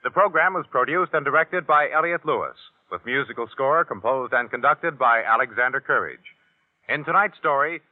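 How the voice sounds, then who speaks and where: Lively American radio announcer, old tinny sound. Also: male voice, medium pitch. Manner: formal, polished. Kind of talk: 1950s radio announcing, scripted credits. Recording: tinny vintage radio, loud music behind.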